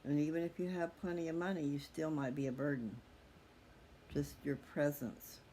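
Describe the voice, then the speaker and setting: Higher-voiced middle-aged man, candid rambling chat. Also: fairly even intonation, moderate pace, warm tone, poor audio. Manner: candid and reflective, chatting to listeners. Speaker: host of a personal video channel. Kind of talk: casual, rambling personal monologue. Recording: clearly audible background noise.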